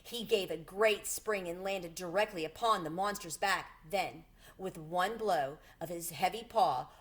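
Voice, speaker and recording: A woman narrating a children's chapter book aloud in an expressive, deliberate way. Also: fairly low pitch. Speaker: storybook reader.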